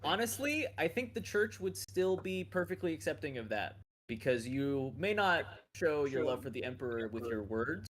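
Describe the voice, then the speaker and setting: Male game master, animated and lively, laughs easily. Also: fairly high pitch, normal speed, few pauses, slight background noise. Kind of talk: casual chat at a tabletop game.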